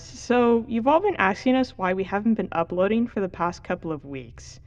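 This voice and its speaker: A woman's voice: mid-range voice, close to an everyday voice. Dull lo-fi actress as reluctant young female vlogger. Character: young female video creator, plain-spoken and reluctant. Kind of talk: vlog-style talk to camera in audio drama. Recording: dull lo-fi video audio.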